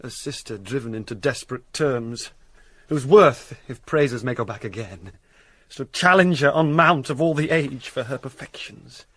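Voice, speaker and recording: A man's voice: medium pitch, expressive, normal speed, a bit bright, no echo. An actor playing a proud, grieving young nobleman bent on revenge, earnest and formal, in classical Shakespearean style with a British accent. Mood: grieving, vengeful.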